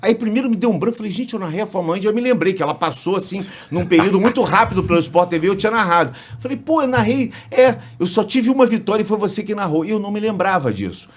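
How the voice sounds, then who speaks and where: A Brazilian sports commentator with a Brazilian Portuguese accent, a podcast guest telling lively stories with restarts and jokes. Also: male voice, sits high, quick pace, few pauses. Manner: animated storyteller, frequent restarts, jokes. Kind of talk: casual interview storytelling.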